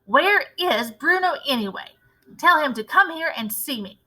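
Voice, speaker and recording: A woman's voice: high voice, expressive, moderate pace, sharp tone, voice pushed up, full character voice. A woman voicing a very large, coarse, impatient man in a storybook, brusque and pompous in manner. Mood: blustering. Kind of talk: storybook read-aloud character voice.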